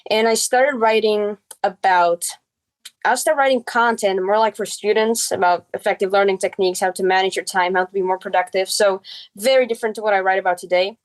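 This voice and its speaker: A woman's voice: medium pitch, steady tone, a little fast. A girl in her early teens, a native Czech speaker with a Czech accent, telling her story casually as a podcast guest. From Czech Republic.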